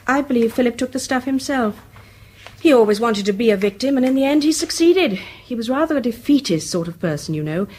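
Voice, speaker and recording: An actress playing a composed, wry and candid imprisoned English novelist, with an educated accent and restrained, dry delivery in British radio drama style. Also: female voice, fairly high pitch, expressive, fairly quick, darkish tone, slight background noise, lightly acted. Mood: wry, bitter.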